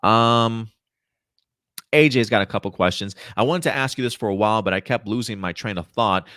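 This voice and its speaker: Low-pitched man hosting a casual chat. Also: fairly bright tone, no room sound, clean studio sound. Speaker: host of a live pop culture show. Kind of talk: casual chat, answering viewer questions.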